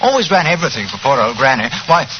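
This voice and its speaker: An actor playing a silly, breezy young heir with an upper-class English accent, flippant and scatterbrained, in 1940s radio style. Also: male voice, medium pitch, big pitch swings, fast, close to an everyday voice. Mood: flustered, cheerful. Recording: slightly noisy, muffled 1940s radio recording.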